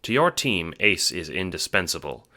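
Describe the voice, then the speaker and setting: Deep-voiced man narrating an audio drama. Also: very animated, moderate pace, pushed and bright. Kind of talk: scripted second-person dramatic narration.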